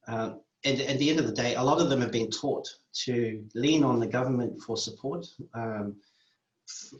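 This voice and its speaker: New Zealand man, halting and roomy. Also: mid-range voice, dull audio. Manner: measured, with frequent restarts and fillers. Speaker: community worker. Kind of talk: interview monologue.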